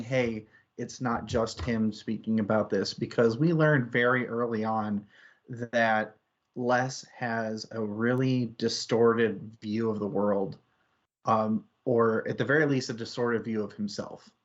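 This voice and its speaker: Slow-paced man, dull lo-fi sound. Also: medium pitch. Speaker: book discussion host. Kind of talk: casual book discussion.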